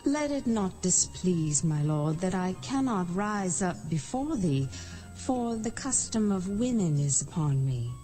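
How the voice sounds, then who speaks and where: A deferential daughter played by a woman speaks politely and apologetically in a scripture drama. The tone is mellow with lively pitch movement, over faint music. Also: measured pace. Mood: apologetic. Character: deferential daughter excusing herself to her father.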